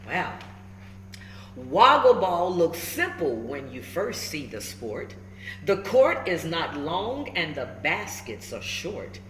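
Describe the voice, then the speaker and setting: A woman reads a picture book aloud for children with expressive, swooping intonation. She takes her time and checks in with her listeners. The room is echoey, with faint noise behind her. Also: on the low side, takes it slowly. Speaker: storybook reader. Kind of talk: animated children's read-aloud with asides to listeners. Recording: roomy echo with faint background noise.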